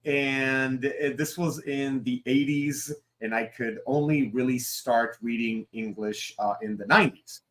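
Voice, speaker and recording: A man's voice: mid-range voice, fairly even intonation, a little slow, few pauses. A man in his forties from Israel, a Hebrew speaker, talking casually as an interview guest, rambling with fillers and asides. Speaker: guest, creative director and comic writer.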